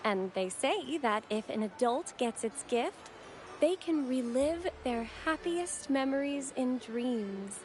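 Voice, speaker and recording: A young woman voicing a gentle, devout, polite member of a holy order in a video game, speaking in a courteous, formal way. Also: high-pitched, animated, slow pace. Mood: friendly, cheerful. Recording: game dialogue over clear background music.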